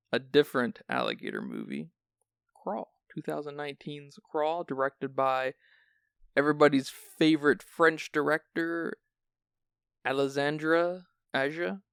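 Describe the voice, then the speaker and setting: Male podcast host: sleepy-sounding, gravelly, loose rambling delivery, dry close sound. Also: fairly high pitch, sing-song, measured pace, fairly bright tone, no room sound. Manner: chatty, rambling with restarts. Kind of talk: casual film discussion.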